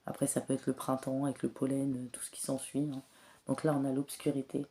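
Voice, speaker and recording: A woman with a cold and a slightly hoarse voice, giving a spiritual card reading in a soft, hesitant way with many filler pauses. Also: rumbling low, monotone. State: has a cold, clears throat often. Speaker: tarot and oracle card reader.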